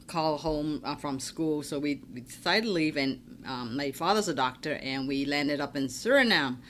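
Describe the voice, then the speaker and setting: A woman with a low, deep voice and a slight Chinese accent talks in a relaxed, chatty way. She is middle-aged and laughs often as she talks. Faint background noise sits under her voice. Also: normal speed. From Hong Kong, raised in Trinidad and Tobago. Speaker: stormwater manager, guest. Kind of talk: casual interview chat.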